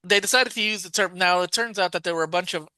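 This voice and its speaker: A man's voice: high voice, quick pace, bright, forceful tone, dry recording. A man co-hosting a podcast, chatting casually and rambling with lots of fillers and self-corrections. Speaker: podcast co-host. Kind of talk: casual chat about a TV show.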